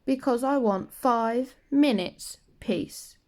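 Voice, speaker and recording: A woman's voice: fairly high pitch, slow, darkish tone, pitched above the performer's own voice. A woman voicing a harried, exasperated mother in a children's storybook, speaking in a firm, weary manner. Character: harried, exasperated mother wanting peace and quiet.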